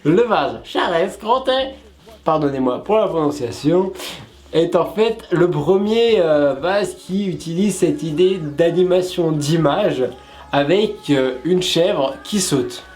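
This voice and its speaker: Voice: medium pitch, expressive, takes it slowly, a little mellow. A co-host of a web show explaining things casually, with playful asides to the viewers.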